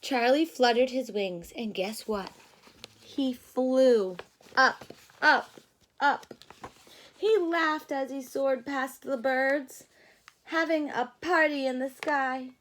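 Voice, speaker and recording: A woman doing an expressive read-aloud for young children, pausing to put questions to them in a teacherly way. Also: high-pitched. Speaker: storyteller reading a picture book to children. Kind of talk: animated read-aloud with asides to young listeners.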